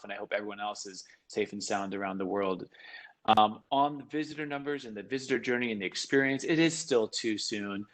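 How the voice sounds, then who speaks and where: Casting: man, low pitch, pavilion official, businesslike panel remarks over a video call. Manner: businesslike, many fillers.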